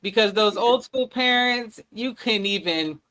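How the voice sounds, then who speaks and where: A woman's voice: mid-range voice, measured pace, a bit bright, low-quality recording. A woman hosting a casual panel discussion, drawling and teasing, with many ums. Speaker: host.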